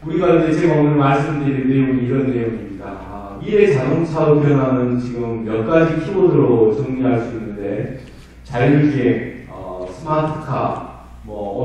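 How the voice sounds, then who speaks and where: Middle-aged guy with a hoarse cold voice, dark mellow tone, polite and chatty, giving a conference talk. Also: mid-range voice. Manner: polite, conversational lecturing. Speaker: conference presenter. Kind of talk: conference presentation. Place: large conference hall. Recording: very echoey hall, voice distant.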